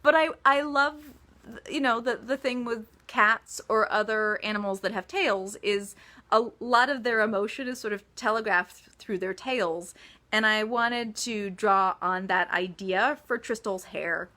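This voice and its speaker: Female author for casual live Q&A: bright, forward voice at medium pitch, chatty and emphatic. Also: unhurried. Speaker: author answering reader questions. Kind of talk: casual livestream Q&A chat.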